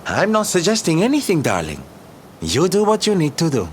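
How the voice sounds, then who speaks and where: A man's voice: on the high side, huge pitch swings, fairly quick, a little hiss or hum. A man playing a flamboyant, teasing showman in a video game, theatrical, camp and coaxing in manner. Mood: teasing, challenging.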